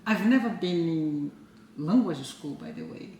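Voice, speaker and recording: A woman from Brazil in her forties, a native Portuguese speaker talking in English with a Brazilian accent, speaking haltingly with fillers and soft laughs in a casual chat at home. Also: fairly low pitch, huge pitch swings, far from the mic. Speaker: co-host. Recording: echoey room, a bit off-mic, faint noise.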